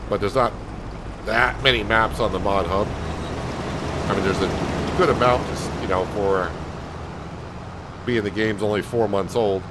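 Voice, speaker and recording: Calm low-voiced man streaming a farm game. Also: background noise. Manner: calm, soothing, unhurried. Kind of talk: casual gameplay commentary.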